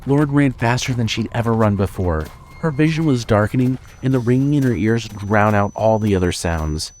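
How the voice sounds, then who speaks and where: A man's voice: lowish voice, animated, moderate pace, a little mellow, a little hiss or hum. A man reading scripted horror fiction as the narrator of an audio drama.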